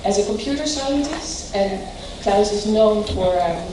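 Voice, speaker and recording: A woman's voice: medium pitch, lively intonation, noisy background. Lady hosting, introducing the speaker, lots of ums, far from the mic in a big hall. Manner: halting, many fillers. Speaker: event host introducing speaker. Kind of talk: speech introduction. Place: large hall.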